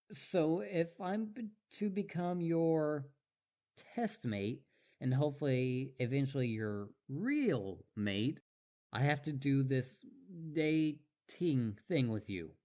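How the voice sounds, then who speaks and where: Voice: medium pitch, a little mellow. A performer voicing an obsessive, devoted young male wolf boy in a roleplay audio drama, stammering and eager. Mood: puzzled, hopeful.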